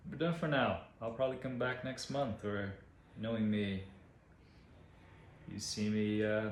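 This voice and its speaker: Low-pitched male artist, Portuguese-native, casual, hesitant delivery with lots of ums. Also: steady tone, a little slow. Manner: hesitant and self-deprecating, many fillers. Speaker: artist giving a channel update. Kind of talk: casual vlog update.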